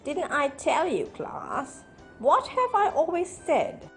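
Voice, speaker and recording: A woman's voice: high voice, wildly swooping pitch, takes it slowly, soft background music, voice pushed up, exaggerated voice. A woman voicing a strict, scolding female health teacher in a storybook, a secretly greedy villain, emphatic and theatrical. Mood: stern, smug.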